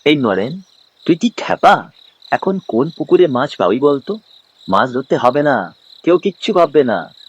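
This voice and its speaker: A man playing a blunt, excitable young villager in an animated story. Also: medium pitch, a little slow, pauses often, husky. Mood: exasperated.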